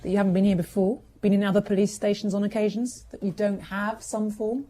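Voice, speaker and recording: An actress playing a polite but firm police sergeant in a radio drama, questioning in a measured, cool manner. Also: female voice, medium pitch, level tone, dark tone, close to an everyday voice. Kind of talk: naturalistic radio drama acting.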